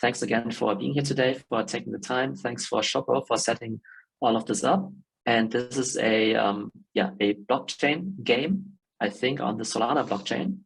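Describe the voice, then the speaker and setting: A man's voice: mid-range voice, normal speed, creaky, breathy edge, rough recording. A man hosting an interview on a call, friendly and using fillers. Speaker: interview host.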